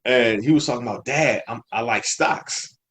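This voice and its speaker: A man's voice: mid-range voice, moderate pace, fairly bright tone. A man as a podcast guest in casual conversation, telling stories in an informal, slangy way and acting out quoted dialogue. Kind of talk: casual storytelling chat.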